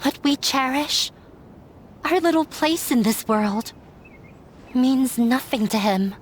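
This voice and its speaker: A woman's voice: high voice, expressive, takes it slowly, a little hiss or hum. An actress playing a dejected, self-sacrificing young woman in an anime game dub, confiding in a sorrowful, resigned way. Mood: bitter, resigned. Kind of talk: English-dubbed anime game voice acting.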